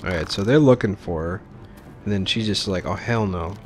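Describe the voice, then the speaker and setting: A man with a fairly low voice hosts a game playthrough in a casual, informal way. He rambles through his explanations and exclaims freely, with music clearly audible behind him. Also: normal speed. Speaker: let's play host. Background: game music and sound.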